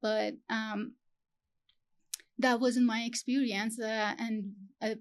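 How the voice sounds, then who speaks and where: A young woman from Iran, talking as an interview guest, halting and searching for her words with many fillers. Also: on the high side, level tone. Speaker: guest, PhD student. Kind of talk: interview conversation.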